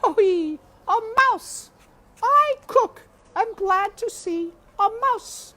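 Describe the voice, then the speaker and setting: Super high shrill old cook lady for a storybook, jolly, jumpy, folksy exclamations, loud. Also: animated, slow, heavily acted. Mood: jolly, relieved. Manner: exclamatory, folksy, cackling ho-hees. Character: plump nervous, jolly old female kitchen cook. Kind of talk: storybook character voice.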